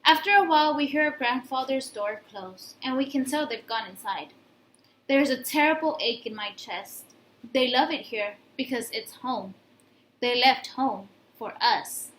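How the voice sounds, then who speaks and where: A woman's voice: sits high, a little slow, a bit bright, a little hiss or hum. A woman reading a children's book aloud as narrator. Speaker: story reader. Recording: echoey room.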